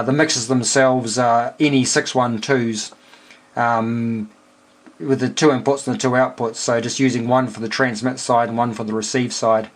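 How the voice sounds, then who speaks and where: A man with a New Zealand accent chatting casually and technically as an amateur radio operator, explaining things in a halting way. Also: mid-range voice.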